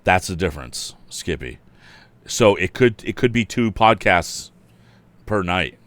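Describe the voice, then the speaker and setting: A man hosting a casual story read-aloud, informal and a bit halting, slipping between narration and chatty asides. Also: sits low, expressive, no echo. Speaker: storybook reader and host.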